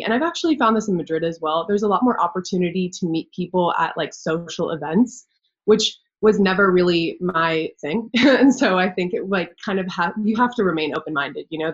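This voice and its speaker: A young woman, a university student, talking reflectively in a casual chat with many fillers. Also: fairly low pitch. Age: young adult. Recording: dull lo-fi audio.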